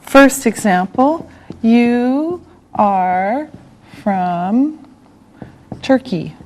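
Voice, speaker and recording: A woman teaching a beginner English lesson. She speaks slowly and deliberately, saying one word at a time, repeating patterns and encouraging the class. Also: on the high side, expressive, darkish tone, slight background noise. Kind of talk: scripted language lesson for beginners.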